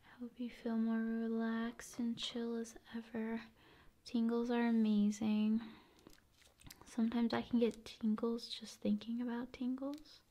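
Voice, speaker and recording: Female ASMR host: unhurried, soothing, half-whispered delivery, flat intonation. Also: a little high. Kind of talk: ASMR, soft-spoken and whispered rambling.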